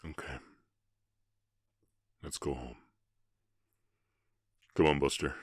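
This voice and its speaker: Half-orc cowboy, male actor: rock-bottom pitch, close dry sound, tender and ashamed, faltering into murmurs, ASMR drama. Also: fairly even intonation, no echo, heavily acted. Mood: tender, relieved. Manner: halting, emotional, often dropping to breathy murmurs. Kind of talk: ASMR roleplay voice acting.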